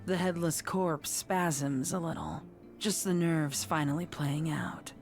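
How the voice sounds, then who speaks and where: A woman narrates dark horror fiction in a low voice. She takes it slowly and with suspense, measured from phrase to phrase, over a bed of music. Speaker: story narrator. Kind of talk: dramatic scripted horror story narration.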